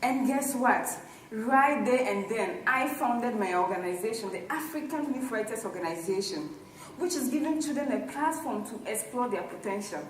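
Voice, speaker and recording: A young woman giving an emphatic motivational stage talk, pausing for dramatic effect. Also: a little high, animated. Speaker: conference speaker, organization founder. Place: large echoey hall. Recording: very echoey hall, distant mic, faint noise.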